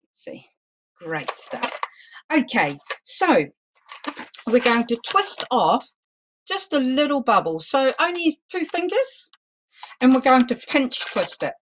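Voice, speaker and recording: A woman teaching a live balloon-twisting lesson, talking loudly and chattily with some self-deprecating humor. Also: sits high, big pitch swings, slow, pauses often, a little hiss or hum, low-quality recording. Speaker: balloon artist teaching a workshop. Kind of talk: live online demonstration lesson.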